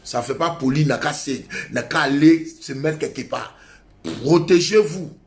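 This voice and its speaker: Emphatic preaching man, fairly high voice. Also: unhurried. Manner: emphatic, repetitive exhortation. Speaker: pastor. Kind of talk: sermon.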